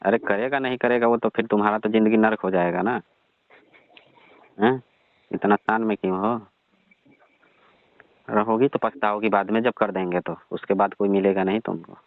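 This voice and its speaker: Demanding old father-in-law played by a man, low, muffled. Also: steady tone, moderate pace, long pauses, no echo, natural voice. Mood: warning. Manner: badgering, dismissive, questioning. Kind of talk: staged phone call skit, conversational. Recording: dull, band-limited phone call recording.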